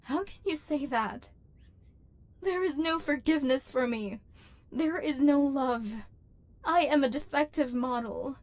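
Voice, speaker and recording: Female actor as wounded robot overlord for ASMR roleplay: highish feminine pitch, formal measured machine diction, guarded, lonely, yearning. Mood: hurt, disbelieving.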